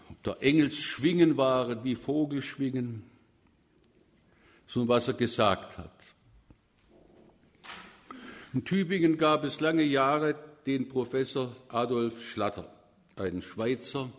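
A man's voice: medium pitch, slow pace, long pauses. A man with a Swabian accent preaching a sermon, emphatic and at times rising to fervent proclamation. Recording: long reverb of a church.

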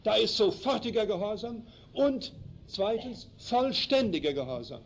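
A man from Canada whose first language is German, preaching a slow, emphatic sermon in a chapel that explains Bible verses. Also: sits high, sing-song, creaky. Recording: dull lo-fi recording, faint noise.

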